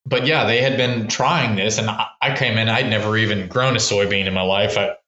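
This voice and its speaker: A man's voice: lowish voice, steady tone, brisk, bright, forceful tone, roomy sound, dull audio. A man from Texas, a wildlife researcher who is a podcast guest, explaining his work in a casual, rambling way with many restarts and fillers. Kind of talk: casual explanatory interview talk.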